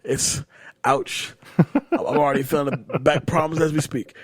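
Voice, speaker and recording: A man's voice: fairly high pitch, fairly even intonation, normal speed, slightly rough, no room sound. Swearing, laid-back young man hosting. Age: late 20s. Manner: loose, swears freely, laughs often. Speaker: podcast host. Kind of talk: casual movie chat.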